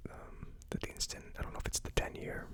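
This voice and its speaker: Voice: a little fast, fairly bright tone. A person giving a casual ASMR tasting review as host, speaking informally and a little tentatively. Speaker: host of a whiskey tasting series.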